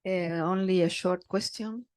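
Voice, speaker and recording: A woman chairing a conference session, briskly calling for questions and announcing the next speaker. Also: on the low side, steady tone, a little mellow, dry recording, clean studio sound.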